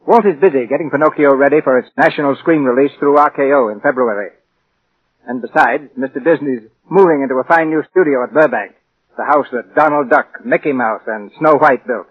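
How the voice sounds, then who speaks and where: A man's voice: medium pitch, brisk, breathy edge. A man presenting a radio show in a formal, scripted 1940s style, grand and oratorical. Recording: muffled old radio broadcast with music.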